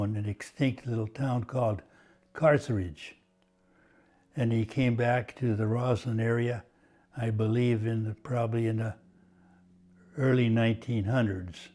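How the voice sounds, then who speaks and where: An older man from Washington State, Croatian as his first language, slowly recalling his family's past with frequent pauses. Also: medium pitch, mellow. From Washington State, USA. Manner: slow and halting, many uh pauses. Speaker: oral history interviewee. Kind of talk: reminiscing, oral history.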